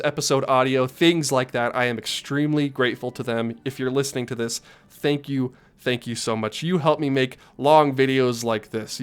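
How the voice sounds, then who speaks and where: A man with a head cold, sounding congested and nasal, talking casually through a video essay with rambling jokes and asides. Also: medium pitch. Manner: rambling, joking asides, warm thanks.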